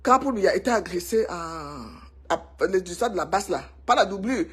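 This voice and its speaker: Cameroonian woman vlogger, husky breathy voice, excitable, chatty gossip to camera. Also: mid-range voice, normal speed. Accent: Cameroonian French. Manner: emphatic, excitable, repeats herself for effect. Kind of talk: casual gossip monologue to viewers.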